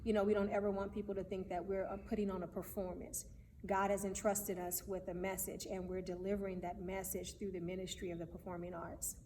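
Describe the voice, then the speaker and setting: A woman's voice: medium pitch, level tone, brisk. Female playwright interviewee, level delivery, earnest, far from the mic with faint background noise. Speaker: playwright and producer. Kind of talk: explaining her work in an interview. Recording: echoey hall, distant mic, faint noise.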